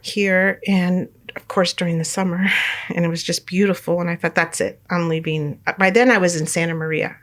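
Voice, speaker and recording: A middle-aged woman from California, a guest telling her life story in a relaxed interview, rambling with many fillers and restarts and laughing often. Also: lowish voice, moderate pace. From United States, California.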